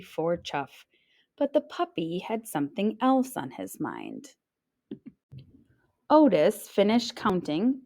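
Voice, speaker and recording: A woman narrates a children's storybook with very animated, swooping intonation. Her voice sits at a medium pitch, and she reads expressively like a teacher, playing up the little sound words. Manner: expressive, acting out sounds and exclamations. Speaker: teacher reading a picture book aloud.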